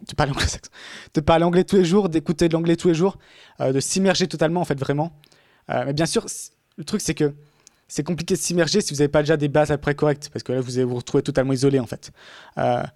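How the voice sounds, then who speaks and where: A man's voice: on the high side, a little mellow, dry recording, studio quality. A man talking casually in a podcast conversation, rambling with many fillers and restarts.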